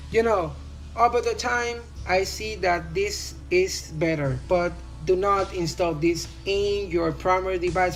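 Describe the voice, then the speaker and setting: A man's voice: high-pitched, poor audio. Man with a Spanish accent. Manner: rambling, filler-heavy, repeats "you know". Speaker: tech YouTuber. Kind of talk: casual phone review voice-over.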